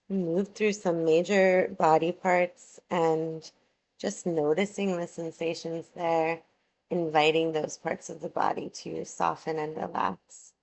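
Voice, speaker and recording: A woman guiding a yoga relaxation meditation, giving soothing, measured instructions with long pauses between phrases. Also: lowish voice, vocal fry.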